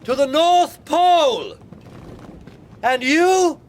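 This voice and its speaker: An actor playing a courteous, earnest and ambitious polar explorer captain in a dramatised audiobook, speaking formally. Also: male voice, very high-pitched, animated, drawn-out words, slight background noise, heavily acted. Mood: urgent, shouting.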